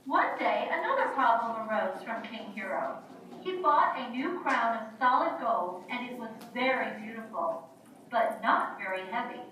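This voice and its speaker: A woman's voice: a little high, expressive, normal speed, fairly bright tone. Echoey woman narrating a children's story. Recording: very echoey room, distant mic, faint noise.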